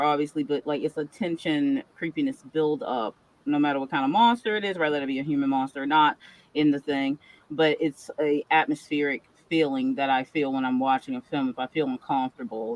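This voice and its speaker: A woman's voice: low voice, no room sound, poor audio. Eager, rambling woman author. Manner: rambling, eager, many fillers. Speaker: horror author, panelist. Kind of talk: casual panel discussion.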